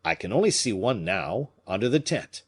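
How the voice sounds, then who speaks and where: A man's voice: fairly low pitch, sing-song, normal speed, breathy edge. A man doing a timid river skipper. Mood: deferential.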